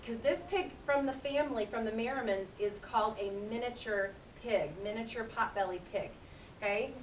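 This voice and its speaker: Woman teacher doing a read-aloud for little kids, kinda high voice, far from the mic. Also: a bit bright. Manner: explanatory, addresses young children directly. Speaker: teacher reading aloud to children. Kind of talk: classroom read-aloud with conversational explanations. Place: indoor room, speaking to a class. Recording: roomy, distant mic with rumbling noise.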